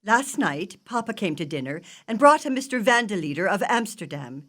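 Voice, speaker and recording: A woman's voice: mid-range voice, sing-song, normal speed, fairly bright tone. A woman acting in audio theatre as a proper, genteel lady, polite and theatrical in manner. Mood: earnest, certain. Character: Lady Anne, genteel, proper upper-class lady.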